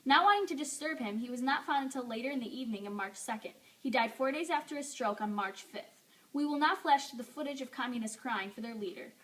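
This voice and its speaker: Bright young woman reading news, distant mic. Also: high-pitched, fast talker. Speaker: newscast anchor in a history project. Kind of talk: scripted amateur newscast reading. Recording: distant mic with faint noise.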